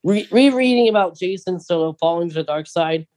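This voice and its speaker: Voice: medium pitch, lively intonation, brisk, a little mellow, no room sound. A podcast co-host chatting casually about films and books.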